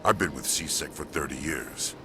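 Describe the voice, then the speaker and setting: An actor playing a stern, distrustful veteran alien police chief in a video game, blunt and disapproving. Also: male voice, moderate pace. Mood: indignant. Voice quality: very deep, gravelly. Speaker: head of citadel security. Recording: music behind the voice.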